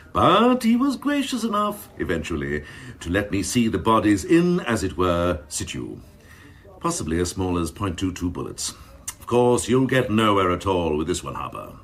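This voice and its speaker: An actor playing a cynical, domineering assistant chief constable, mocking and ornate in a crime radio drama. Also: male voice, deep voice, a bit bright, breathy edge, heavily acted. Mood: sardonic. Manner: mocking, ornate, theatrical phrasing.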